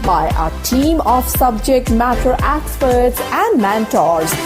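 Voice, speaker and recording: A woman's voice: on the high side, big pitch swings, slow pace. A woman reading a scripted promotional podcast introduction as an announcer. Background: music.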